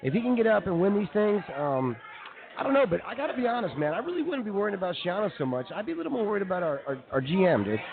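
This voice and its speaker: A man's voice: high-pitched, big pitch swings, racing, vocal fry, music in the background. A man co-hosting a radio show with informal, slangy banter about sports and jokes. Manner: informal, peppers talk with "dude" and "man". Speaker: radio co-host. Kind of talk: casual sports and comedy banter. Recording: tinny, narrow-band phone-like audio.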